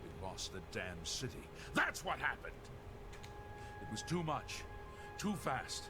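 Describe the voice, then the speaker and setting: An actor playing a grim, gruff male guard captain defending a burning city, urgent and despairing, over game music. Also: medium pitch, sing-song, slow. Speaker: soldier commander. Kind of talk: video game voice acting.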